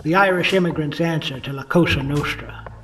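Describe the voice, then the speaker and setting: Man playing a jaded, higher-voiced noir detective. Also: brisk, a crowd in the background, close to an everyday voice. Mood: dry, sardonic. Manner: world-weary, dry, slangy. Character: jaded, hard-drinking male 1950s police detective, gruffly sardonic. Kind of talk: noir radio drama acting and narration.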